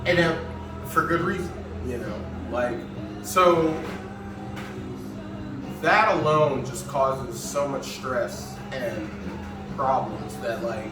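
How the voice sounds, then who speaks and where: A man's voice: medium pitch, takes it slowly, pauses often. Earnest man in an echoey room. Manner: earnest, reflective, swears casually. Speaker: podcast guest. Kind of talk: candid conversation. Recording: echoey room, far from mic, music behind.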